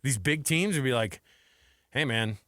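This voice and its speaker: A man's voice: medium pitch, expressive, a little slow, pushed and bright, no echo, studio quality. An American man co-hosting a sports radio show in a casual, breezy, colloquial way.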